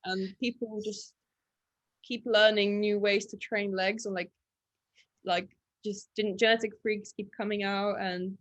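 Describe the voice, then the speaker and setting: A young woman who grew up in England after early childhood in Latvia, a Russian speaker, chatting casually as a podcast guest and pausing often to find her words. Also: medium pitch, no room sound. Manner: relaxed, thinks aloud with many fillers. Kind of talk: casual interview chat.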